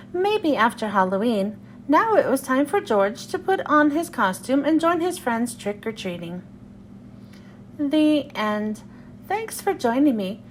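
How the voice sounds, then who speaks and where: A woman's voice: high voice, slight background noise. A woman hosting a read-aloud and reading a children's storybook to young listeners.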